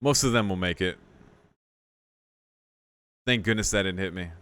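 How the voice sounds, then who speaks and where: A man's voice: sits low, unhurried, fairly bright tone, smooth, no room sound. A man doing casual gameplay commentary as a video host, reacting with exclamations and mock exasperation.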